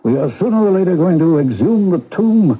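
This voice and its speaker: A man's voice: sits high, expressive, a little fast, slight background noise. An actor playing a proud, obsessive old man, testy and theatrical in 1970s radio drama style. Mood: obsessed, determined. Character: obsessive, stubborn old husband, proud tomb hunter. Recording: tinny, band-limited old radio recording.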